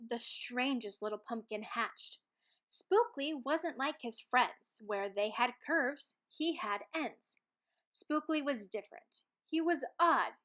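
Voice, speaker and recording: High pitched lady doing a kids picture book read aloud, expressive, really punches the rhyming words. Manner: expressive, dramatic emphasis on rhymes and key words. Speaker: storybook reader.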